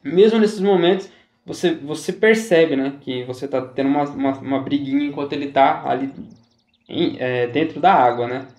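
A man's voice: fairly high pitch, normal speed, darkish tone. Chatty man with a roomy echo. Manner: chatty, repeats himself, says "galera" and "tá?" often. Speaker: gaming YouTuber. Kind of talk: casual gameplay tips commentary.

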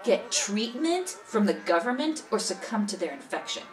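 A woman's voice: mid-range voice, very animated, normal speed, close to an everyday voice. A woman acting the part of a cynical, worldly smuggler who recounts things matter-of-factly in an audio drama interview. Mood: defensive. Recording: slightly distant, music behind.

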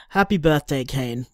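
An actor playing an affectionate, gently rambling young Australian boyfriend in an audio drama told through voicemails. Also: male voice, a little high, dry recording, clean studio sound. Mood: tender.

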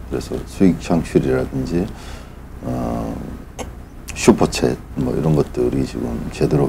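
Very deep-voiced middle-aged man. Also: vocal fry, dark tone, a little hiss or hum. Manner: halting, formal and polite. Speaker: reporter and channel host. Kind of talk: unscripted announcement to viewers.

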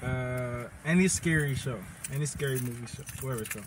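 A man's voice: medium pitch, brisk, background noise. An eighteen-year-old man hosting a casual vlog from inside a car, joking and teasing in a laid-back way, filmed on a phone held at arm's length. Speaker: vlogger. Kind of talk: casual chat. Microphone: phone camera at arm's length.

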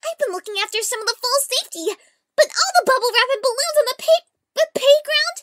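A woman voicing a sweet, excitable young female cartoon pony for a fan audio drama. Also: extremely high pitch, fast, noisy background. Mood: earnest. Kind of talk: fan audio drama voice acting with flubbed retakes.

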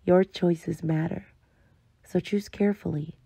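A woman's voice: lowish voice, steady tone, a little slow, vocal fry, mellow, husky, dry recording, heavily acted. A woman voicing an intimate, knowing digital companion who coaxingly guides the listener in a scripted game trailer. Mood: coaxing, sly.

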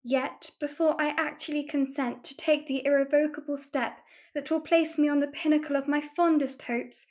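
Woman doing dramatic victorian young lady, gushy and florid, high pitched. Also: fairly even intonation, moderate pace, few pauses, a little mellow, natural voice. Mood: passionate. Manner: gushing, florid, theatrical. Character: passionate, fretful, grandiloquent young Victorian lady. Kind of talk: theatrical farce radio play acting.

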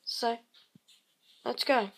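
A woman casually narrating her game session, croaky and clearing her throat, rambling with many pauses and humming to herself. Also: slight background noise. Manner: slow, rambling, many ums, hums tunes while playing. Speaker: let's play host. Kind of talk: casual gameplay commentary.

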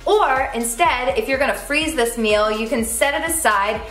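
Bright, high-voiced upbeat woman. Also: normal speed. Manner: upbeat, chatty. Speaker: cooking show co-host. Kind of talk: casual recipe instructions and channel promotion. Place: home kitchen. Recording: echoey kitchen with faint music.

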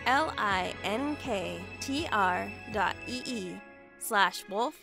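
A woman reading scripted credits and announcements for an audio drama in a friendly, clear way. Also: medium pitch, expressive, slow pace, music in the background.

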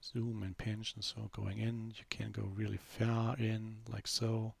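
A man narrating a screen-recorded software demo in a relaxed, unscripted way, full of fillers and restarts. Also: fairly low pitch, measured pace, gravelly, slightly rough, dry recording. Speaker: software developer giving a demo.

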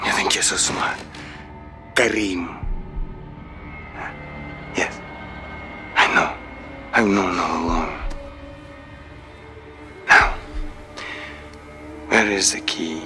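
A man's voice: low-pitched, drawn-out words, creaky, pauses often, over music, heavily acted. An actor playing a cruel, menacing middle-aged Russian general in a video game, taunting as he interrogates, with a Russian accent. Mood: cold menace. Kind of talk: cinematic video game acting.

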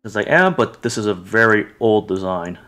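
A man's voice: on the low side, moderate pace, a bit bright. A man narrating an online tabletop roleplaying game as game master, describing scenes and instructing players. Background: music.

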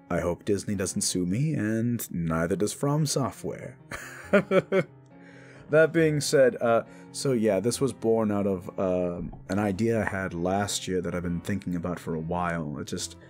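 A man's voice: sits low, a little mellow. A man who is a little sick, giving a casual, rambling outro to listeners as an audio creator. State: a little sick, voice not fully recovered.

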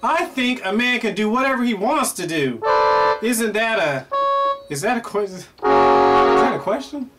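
A man's voice: sits high, animated, unhurried, long pauses. A middle-aged man hosting a playful question and answer show, reacting aloud to an unseen guest with lots of exclamations and laughs. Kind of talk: animated comedic Q&A chat. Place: indoor room before a pale curtain. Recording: distant mic with loud guitar music behind.

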